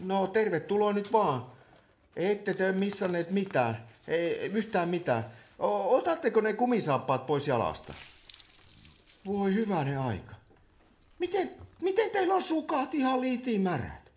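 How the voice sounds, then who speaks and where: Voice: medium pitch, very animated, a little mellow, natural voice. An actor in a comic radio play playing an exasperated meeting chairperson who scolds delegates like a hesitant nursery teacher. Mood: flustered, patient.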